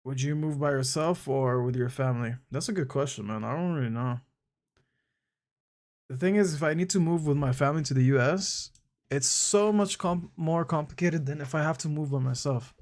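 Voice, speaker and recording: Young guy streamer, kinda high voice, chill and chatty, says bro a lot, trips over his words. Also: moderate pace, darkish tone. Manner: laid-back, slangy, calls chatters bro; thinks aloud with frequent restarts. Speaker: live streamer. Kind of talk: casual live-stream chat answering viewers.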